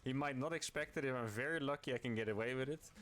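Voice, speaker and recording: A man's voice: mid-range voice, fast, a bit bright. A man in a mid-range voice talks through his strategy game match. He explains his plans as he goes, thinking aloud with frequent ums. His tone stays level and conversational. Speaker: game video commentator.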